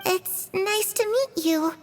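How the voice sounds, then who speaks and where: An actress playing a sweet but eerie little phantom girl in a video game, with an unhurried, childlike way of talking. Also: very high voice, music in the background. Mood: cheerful, welcoming.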